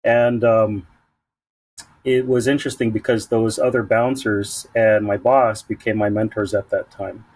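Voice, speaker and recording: A man from San Diego answers interview questions in a fairly low voice. He talks haltingly, full of fillers and restarts as he gathers his thoughts. The sound is dry and close, with no room echo. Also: fairly even intonation, darkish tone, slightly rough. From San Diego, United States. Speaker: community program leader, guest. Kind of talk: conversational interview.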